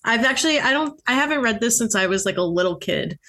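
A woman's voice: a little high, animated, fast, bright, forceful tone. A woman co-hosting a podcast in casual comedic banter, giggly and often exclaiming. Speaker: podcast co-host.

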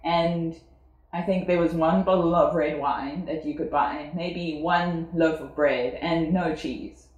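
A South African woman in her forties speaks in a low voice. She talks reflectively, pausing on fillers, and the sound rings around an echoey room. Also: fairly even intonation, darkish tone, muffled recording. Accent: South African English. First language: English. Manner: reflective, with frequent fillers and laughs. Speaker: guest. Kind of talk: casual conversational interview.

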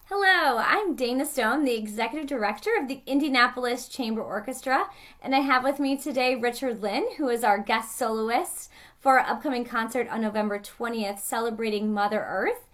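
A woman's voice: on the high side, moderate pace, a bit bright. A woman hosting an interview with a guest soloist, fluent with occasional fillers. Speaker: interview host.

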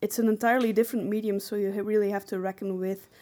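A woman's voice: mid-range voice, fairly even intonation, fast talker, mellow. A woman co-hosting a podcast in casual discussion. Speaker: podcast co-host.

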